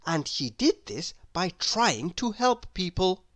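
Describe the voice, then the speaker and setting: A woman reading a children's story aloud in an expressive, deliberate, theatrical way. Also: lowish voice, no room sound, studio quality. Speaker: storybook reader.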